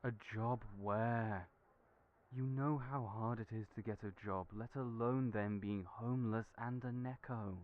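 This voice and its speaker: Soft-spoken, hesitant low-voiced man. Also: fairly even intonation, a little slow, narrow, tinny audio. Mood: reluctant. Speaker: audio roleplay voice actor. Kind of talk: scripted audio roleplay.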